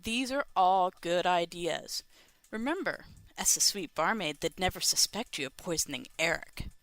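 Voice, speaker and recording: Bright, lively, crisp-voiced woman hosting. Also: on the low side, normal speed, no room sound. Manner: playful, addressing the listener directly. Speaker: podcast segment host. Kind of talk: scripted advice segment, informal.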